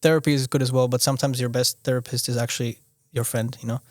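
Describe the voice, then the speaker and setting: Young man from Austria, halting talk. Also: mid-range voice, flat delivery, no echo, studio quality. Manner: halting, restarts often, leans on "you know". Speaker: podcast guest. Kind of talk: casual conversational interview.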